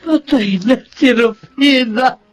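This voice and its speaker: A woman's voice: high voice, slow pace. An actress playing a spiteful, melodramatic older mother and neighbour in an audio drama. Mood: shocked grief. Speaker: actor. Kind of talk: Filipino melodramatic radio drama acting.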